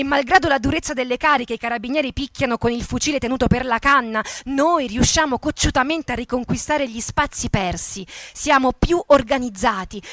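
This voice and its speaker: A woman's voice: high-pitched, a bit bright, no room sound. A woman giving a dramatic scripted narration as an actress in radio theatre.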